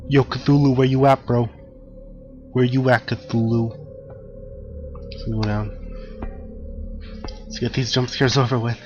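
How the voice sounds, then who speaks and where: A man hosting a horror game playthrough, gravelly and a bit hoarse from allergies, joking and talking back to the game. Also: medium pitch, little pitch movement, slow pace, long pauses, darkish tone. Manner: jokey, talks to the game, gasps at scares. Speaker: gaming channel host. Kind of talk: casual horror game commentary. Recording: dull lo-fi audio with game music. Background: game music.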